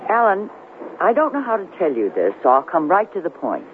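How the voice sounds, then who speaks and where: A woman's voice: fairly low pitch, huge pitch swings. Stern woman boss, tinny old radio. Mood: stern, disapproving. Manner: formal, measured, firm. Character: stern, principled middle-aged woman news department boss. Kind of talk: 1970s radio drama acting. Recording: tinny, lightly noisy 1970s radio broadcast.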